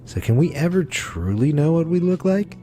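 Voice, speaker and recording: A man reading a scripted facts video as narrator, with a smooth, wry delivery. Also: fairly high pitch, very animated, normal speed, over music.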